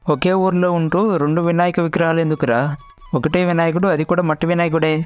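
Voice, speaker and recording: A man's voice: a little high, fairly even intonation, quick pace, clean tone, faint music behind, natural voice. A man playing a dutiful, respectful village elder in a cartoon story, earnest and plain-spoken. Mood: stern. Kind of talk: Telugu cartoon story dialogue.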